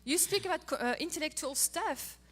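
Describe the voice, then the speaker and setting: Middle-aged french lady, high voice, playful and lively, talking marriage to a crowd. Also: a little fast. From France. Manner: playful, animated storytelling. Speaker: co-host of a marriage talk. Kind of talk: casual talk to an audience.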